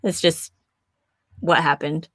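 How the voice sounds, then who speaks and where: A woman's voice: low-pitched, steady tone, takes it slowly, husky, no echo, studio quality. A woman moderating a casual video call, chatting with a laugh and asking the panel questions. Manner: warmly laughing, conversational, asks questions. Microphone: webcam on a video call.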